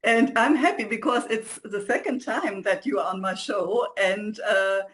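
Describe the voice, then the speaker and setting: A woman hosting an interview show, introducing a guest and asking questions in a halting way. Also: medium pitch, fairly bright tone, a little hiss or hum. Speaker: show host.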